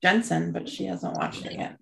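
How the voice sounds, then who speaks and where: A woman co-hosting a podcast in casual chat, relaxed, with short replies. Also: fairly low pitch, normal speed, vocal fry, a little hiss or hum, poor audio. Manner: relaxed, brief replies, wraps up the show. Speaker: podcast co-host.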